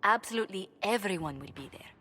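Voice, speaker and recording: An actress playing a poised courtly ambassador, speaking in a formal, measured, articulate way in a video game. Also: female voice, mid-range voice, expressive, sharp tone, slight background noise. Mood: poised, concerned. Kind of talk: video game cutscene acting.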